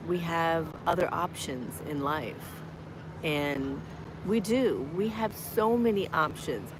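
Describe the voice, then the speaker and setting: Hoarse woman in her 50s encouraging outdoors. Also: lowish voice. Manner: encouraging, chatty, preacher-like exhortation. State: hoarse from a cold. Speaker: motivational vlogger. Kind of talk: live-streamed walking vlog. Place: outdoors on a college campus. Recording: noisy outdoor street ambience.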